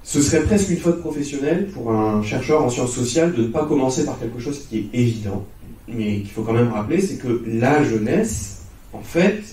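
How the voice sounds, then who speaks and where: A man in his thirties delivers a lecture. His voice is relaxed and dark in tone, at a middling pitch. It carries room echo. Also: normal speed. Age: 30s. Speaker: lecturer, social science researcher. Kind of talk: introductory public lecture. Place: conference hall, on stage. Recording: roomy, slightly distant hall sound.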